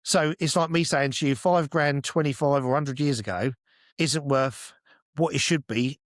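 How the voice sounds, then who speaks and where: A man's voice: on the high side, moderate pace, no room sound, studio quality. A British man co-hosting a casual podcast chat, explaining his opinions in a sweary, insistent way and answering his own questions. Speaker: podcast co-host.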